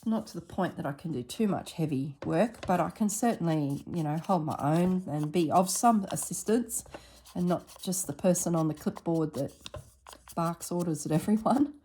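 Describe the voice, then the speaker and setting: A woman with a slightly husky voice narrating a casual craft tutorial at her table, thinking aloud as she works. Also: low-pitched, warm tone, a little hiss or hum. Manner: unhurried, thinks aloud while working. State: recovering from illness, slightly husky throat. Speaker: craft vlogger.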